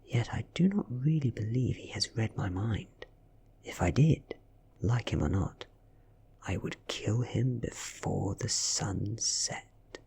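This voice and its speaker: British male reader, soft-spoken ASMR storytelling, very breathy and hushed, with lively pitch movement. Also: slow pace. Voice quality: breathy, whispery. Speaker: audiobook reader.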